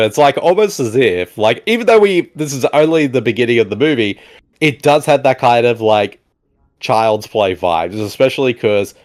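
A man hosting a casual movie commentary podcast, animated, often answering with a repeated "exactly" or "indeed". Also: mid-range voice. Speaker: podcast host.

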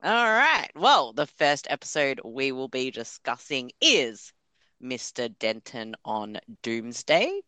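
A woman's voice: a bass voice, very animated, slow pace, bright, forceful tone, dry recording. A woman with an Australian accent hosting a podcast discussion, moving between chat and read-out notes. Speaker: podcast host.

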